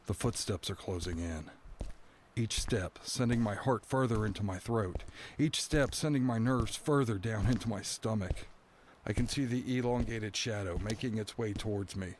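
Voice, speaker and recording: Man acting an anguished, low-voiced doomed prisoner. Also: slight background noise, lightly acted. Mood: dread. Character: anguished, dread-filled male prisoner awaiting his doom. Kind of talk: dramatic first-person monologue, audio drama. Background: background music.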